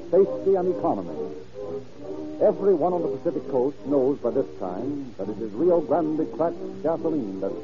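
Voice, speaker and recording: Higher-pitched vintage American radio announcer, male. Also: dull audio. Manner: formal, declamatory. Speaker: announcer and narrator. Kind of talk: 1930s radio announcing, scripted narration and ad reading. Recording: old 1930s radio broadcast recording.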